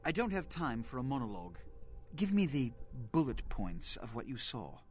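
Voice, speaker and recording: A coolly disdainful male vampire prince from a video game, voiced by an actor. He speaks at a middling pitch with pompous, clipped authority over faint ambient noise. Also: very animated, normal speed, lightly acted. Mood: imperious, impatient. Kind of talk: video game character acting.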